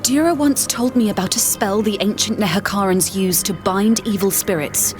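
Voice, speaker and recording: A woman's voice: medium pitch, normal speed, over music, lightly acted. A woman voicing an earnest, learned female scholar who explains spells and gives quest directions in a game. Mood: explanatory. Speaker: quest giver.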